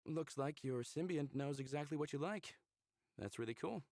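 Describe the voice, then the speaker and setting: A man's voice: a little high, moderate pace, a little mellow, no room sound, clean studio sound, natural voice. A man playing a friendly, curious human lover in a visual novel, speaking casually and inquisitively. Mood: warm, supportive. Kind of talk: visual novel character acting.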